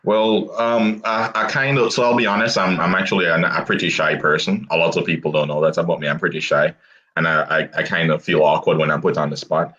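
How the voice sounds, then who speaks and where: Young man, very low voice, dull lo-fi call audio, halting, laughs a lot. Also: steady tone, sharp tone. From abroad, grew up outside the US. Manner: laughs often, self-deprecating, halting restarts. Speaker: guest. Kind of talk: casual interview chat.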